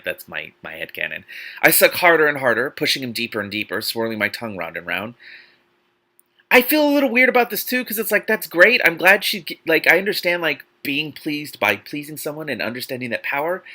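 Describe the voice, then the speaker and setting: A man reads aloud in an intensely bright, sharp voice. His pitch swings widely and theatrically. He hosts the reading like a performance, interrupting it with mocking, exasperated commentary. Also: brisk. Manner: animated, theatrical, incredulous, swearing, sarcastic asides.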